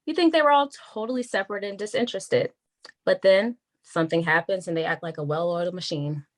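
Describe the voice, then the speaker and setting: A woman playing a wary, frank government attorney in a naturalistic script read, measured and confiding. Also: lowish voice, natural voice. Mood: guarded, confiding.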